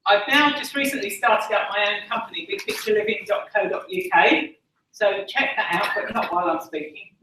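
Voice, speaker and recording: A woman's voice: medium pitch, pushed and bright, slight background noise. A woman with an Essex accent giving a candid personal testimony at a conference podium, earnest and self-deprecating, with frequent ums. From Essex, England. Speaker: conference speaker. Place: large conference room. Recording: echoey hall from far off.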